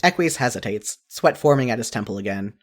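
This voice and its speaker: A man narrating a scripted story in the second person with an expressive storytelling delivery. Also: medium pitch, a little fast.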